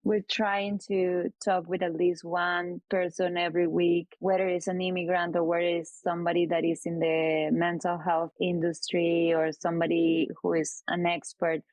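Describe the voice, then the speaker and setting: A woman's voice: on the low side, level tone, moderate pace, hardly any pauses, a little mellow, no echo. A woman from Peru, a startup co-founder, answering interview questions earnestly and often using "like" as filler.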